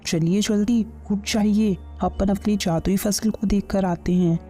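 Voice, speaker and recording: Woman acting a greedy farmer's wife, nagging, scheming, soft dark tone, cartoon story, music underneath. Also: medium pitch, steady tone, measured pace, lightly acted. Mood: eager. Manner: nagging, commanding. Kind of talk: Hindi kids' cartoon story dialogue.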